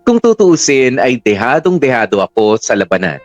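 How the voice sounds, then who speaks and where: A man's voice: mid-range voice, big pitch swings, moderate pace, dull audio, faint music behind, close to an everyday voice. A man with a Filipino accent performing reflective first-person radio drama narration as a lovestruck middle-aged parent. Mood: excited, confiding. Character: middle-aged parent in love, confiding, hopeful storyteller.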